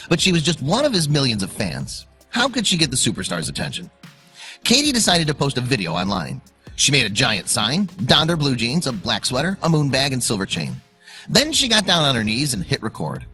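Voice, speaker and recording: A man narrates a scripted story for online video with suspense and emphasis. His bright, forceful voice sits at a middle pitch and moves in lively swings. Music plays softly behind him. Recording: music under the voice. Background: background music.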